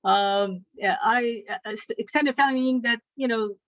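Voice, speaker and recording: A woman from Afghanistan giving an educational presentation as a lecturer, measured and pausing often with ums. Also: fairly high pitch, a bit bright, no room sound. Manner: measured, with frequent ums and pauses.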